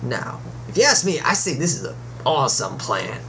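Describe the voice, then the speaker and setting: Guy doing a cocky superhero talking to his fans, folksy and opinionated, pitch jumping all over, some hiss behind him. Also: big pitch swings, normal speed, bright, forceful tone. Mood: mock-serious, emphatic. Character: cocky adventuring male superhero chatting to fans. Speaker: show host in character. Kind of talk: comic monologue, reading a letter aloud. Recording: background noise behind the voice.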